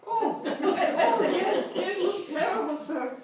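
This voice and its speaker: A woman voicing a quick-tempered, indignant landlady in a comic radio play. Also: very high-pitched, expressive, heavily acted. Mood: flustered. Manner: flustered then huffy, threatening. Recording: echoey room, distant mic, hiss.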